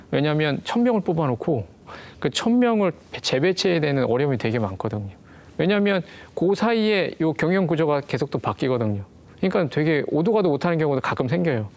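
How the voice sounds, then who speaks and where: A man's voice: on the high side, darkish tone. A man who works as an interview evaluator, explaining in a conversational, repetitive way. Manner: explanatory, conversational, repeats "왜냐하면". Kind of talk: interview and mock job interview.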